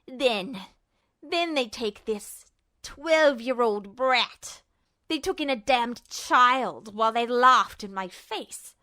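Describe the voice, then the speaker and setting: A woman's voice: a little high, expressive, takes it slowly, bright, forceful tone, heavily acted. A woman voicing a bitter, unhinged male villain, smug and sneering, in an audiobook story. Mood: bitter rage. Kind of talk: audiobook-style character acting.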